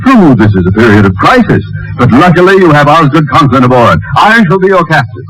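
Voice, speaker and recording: Blustery pompous middle-aged man, old radio comedy, voice jumps all over, loud hiss and noise. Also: a little high, very animated, fairly quick. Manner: pompous, grandiloquent, orders people about. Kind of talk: 1940s radio comedy acting. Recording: noisy 1940s radio recording.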